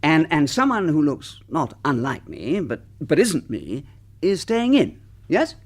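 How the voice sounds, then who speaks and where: Flustered British husband, wildly swooping husky voice. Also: male voice, fairly high pitch, sing-song, unhurried, exaggerated voice. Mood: awkward, sheepish. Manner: hesitant, stammering, prim. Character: flustered, fussy, slightly pompous middle-class husband. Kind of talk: radio comedy drama acting.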